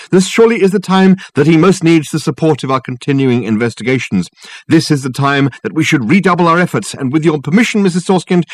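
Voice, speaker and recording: A man's voice: fairly high pitch, no echo, voice pushed up, lightly acted. A man voicing a grandiloquent, unflappable male detective who talks soothingly and floridly. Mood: grandly persuasive. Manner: florid, soothing, mock-sorrowful.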